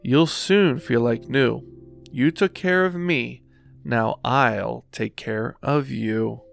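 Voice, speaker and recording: A big, gentle storybook bear, read by a man in a near-natural voice. He speaks slowly and softly, with a gravelly crackle in the voice. A faint guitar hums in the background. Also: medium pitch. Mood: tender. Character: big gentle bear, sick then caring.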